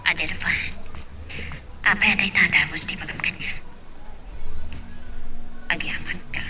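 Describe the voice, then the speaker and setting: A Filipino woman playing a concerned mother in a radio drama, in a whispery, breathy voice. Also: lowish voice, fairly even intonation, unhurried, sharp tone, soft background music. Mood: earnest, reproachful. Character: concerned, hardworking mother advising her daughter.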